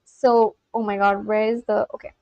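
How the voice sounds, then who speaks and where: A woman's voice: medium pitch, level tone, moderate pace, darkish tone, no echo, muffled recording. A woman from India with an Indian accent hosting a live stream, chatting casually with viewers, laughing often and talking straight to her chat. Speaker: livestream host.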